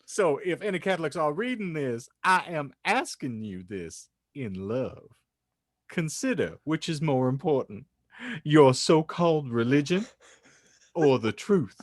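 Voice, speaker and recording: A man's voice: a little high, expressive, unhurried, no echo, studio quality. A man hosting a comedy podcast and narrating, with a mock-solemn, wry, theatrical delivery. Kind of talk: comedic banter and dramatic story reading.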